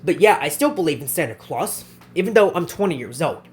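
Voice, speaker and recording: A man's voice: a little high, expressive, a little fast. A man in his twenties hosting a casual question-and-answer vlog, who often repeats himself and restarts his phrases. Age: 20s. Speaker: channel host answering viewer questions.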